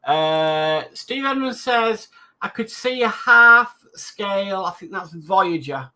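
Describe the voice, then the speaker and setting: A man's voice: high-pitched, animated, slow, bright, forceful tone. A man co-hosting a live stream, reading out viewer comments and chatting about them casually. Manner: reads chat comments aloud, then chats loosely. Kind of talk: casual chat.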